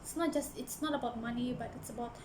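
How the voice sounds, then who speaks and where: A woman's voice: a little high, quick pace, noisy background. A young woman with a Malaysian accent telling a personal story to the camera as a book vlogger, earnest and hesitant, often restarting her sentences. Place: church office.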